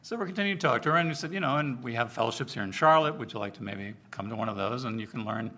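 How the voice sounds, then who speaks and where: A man's voice: mid-range voice, very fast. A man preaching to a congregation in an informal, conversational way, telling personal stories and acting out the dialogue. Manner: conversational, animated storyteller, acts out dialogue. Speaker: preacher, overseer of church outreach. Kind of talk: sermon with informal storytelling to a congregation.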